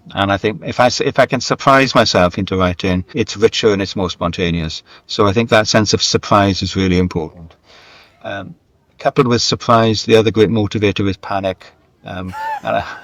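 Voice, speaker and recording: A man's voice: lowish voice, no room sound. A middle-aged or older man speaking as an interview guest in a candid, self-deprecating, conversational way, wandering through anecdotes. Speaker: guest, screenwriter and novelist.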